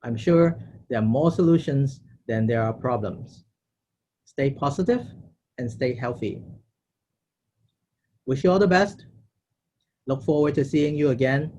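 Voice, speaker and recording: A man's voice: mid-range voice, mellow, muffled recording. A man delivering a prepared thank-you speech as the president of an organization. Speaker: organization president giving closing remarks.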